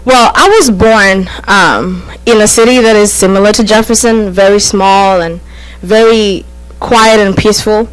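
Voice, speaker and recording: A woman's voice: medium pitch, steady tone, smooth, poor audio. A young woman from Kenya, a student liaison in her twenties, introducing herself politely and formally at a council meeting. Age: 20s. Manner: measured, polite, slightly formal. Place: council chamber at a podium.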